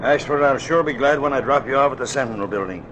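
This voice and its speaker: An actor playing a gruff, impatient driver in a 1940s radio drama, scolding in exasperated slang. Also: male voice, medium pitch, fast talker, natural voice. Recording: noisy old radio recording, vehicle sound. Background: vehicle noise.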